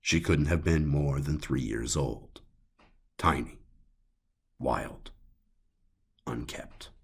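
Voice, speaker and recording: Extremely deep, gravelly male narrator. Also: takes it slowly. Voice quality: gravelly, husky. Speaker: audiobook narrator. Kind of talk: scripted horror story narration.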